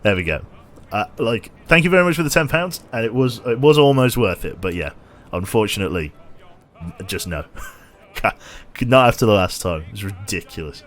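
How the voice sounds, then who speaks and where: A man streaming a game with casual commentary, a little tired, his voice slightly nasal and a bit raspy, chatty as he thinks aloud and thanks viewers. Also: on the low side, lively intonation, moderate pace, fairly bright tone.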